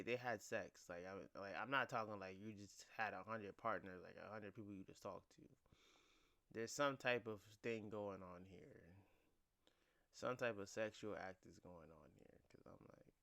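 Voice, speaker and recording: Brief: male reaction host, bright edge, profane and disbelieving commentary. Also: lowish voice, moderate pace. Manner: blunt, profane, incredulous.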